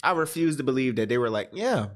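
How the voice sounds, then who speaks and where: A man's voice: mid-range voice, expressive, quick pace. A man giving casual, slangy, exclamatory reaction commentary as a host. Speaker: host reacting to horror videos.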